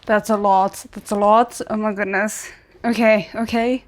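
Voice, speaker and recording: A woman's voice: medium pitch, level tone, measured pace. Woman giving a casual spoken reaction. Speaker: reaction video host.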